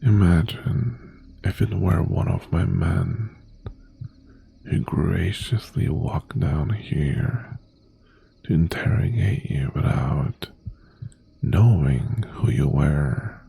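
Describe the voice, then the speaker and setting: Casting: male actor as menacing, obsessive crime-boss ex-lover for ASMR roleplay; low-pitched, heavily creaky half-whisper, possessive and tender. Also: slight background noise, heavily acted. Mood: quiet menace. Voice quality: gravelly, breathy half-whisper. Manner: slow, deliberate, softly threatening. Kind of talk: boyfriend ASMR roleplay, intimate monologue.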